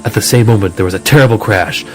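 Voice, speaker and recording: Low-voiced man narrating a horror story. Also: fast talker, faint music behind.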